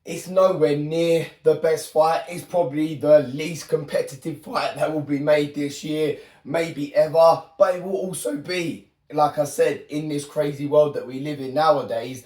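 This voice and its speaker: Hoarse man, echoey room. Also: a little high, fairly even intonation, a little slow, hardly any pauses, darkish tone, distant mic. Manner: blunt, swears freely. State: hoarse with flu. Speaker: boxing YouTuber. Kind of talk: casual prediction monologue.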